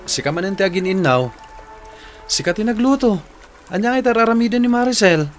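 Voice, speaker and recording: A man playing an easygoing husband and protective older brother in a radio drama, casual and unhurried, with an Ilocano accent. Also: sits high, expressive, soft background music.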